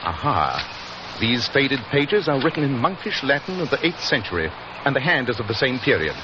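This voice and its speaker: An actor playing a precise, confident, commanding British gentleman detective in 1940s radio style. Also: medium pitch, very animated, normal speed, natural voice. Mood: triumphant. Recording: muffled 1940s radio, reverberant, hissy.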